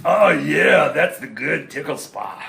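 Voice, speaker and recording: A man voicing a lazy, bossy male lion king in a puppet show, loud and demanding. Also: a little high, animated, slow, vocal fry, echoey room, heavily acted. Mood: lazy, pleased.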